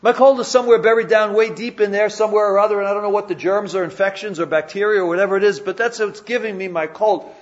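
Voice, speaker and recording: A man's voice: sits high, fairly even intonation, fast talker, few pauses, muffled recording. A man preaching a sermon in a church, often repeating and restarting his words and asking the congregation questions. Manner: repetitive stammering restarts, conversational questions to the congregation. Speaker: pastor.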